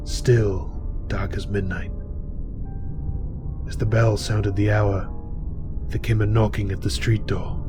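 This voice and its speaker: A man giving a deliberate, theatrical dramatic reading of a gothic horror story. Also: lowish voice. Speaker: reader. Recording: loud music under the voice. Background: music.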